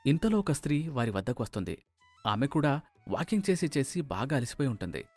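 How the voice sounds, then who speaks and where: A man's voice: mid-range voice, sing-song, brisk, a little mellow, no echo, faint music behind. A man narrating a scripted story.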